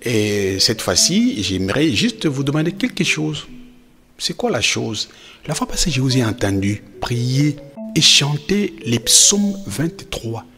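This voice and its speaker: A man's voice: mid-range voice, sing-song, slow, fairly bright tone, soft background music, full character voice. A man playing a devout, proud, affectionate father who teaches his children, effusive and encouraging, repeating phrases for emphasis. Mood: proud, joyful.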